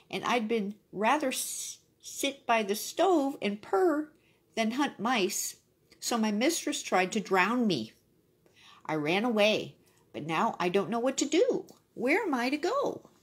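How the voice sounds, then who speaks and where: A woman voicing an aging, miserable cat in a storybook, plaintive and drawn-out. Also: fairly high pitch, very animated, husky, voice pushed up, exaggerated voice. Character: aging, miserable female cat, feeling sorry for herself.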